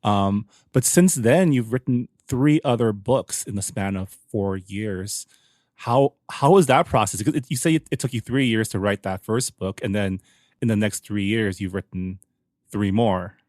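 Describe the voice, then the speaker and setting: A man speaking as a podcast host in a conversational interview, giving measured lead-ins to his questions. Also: fairly low pitch, a little mellow, no room sound, studio quality.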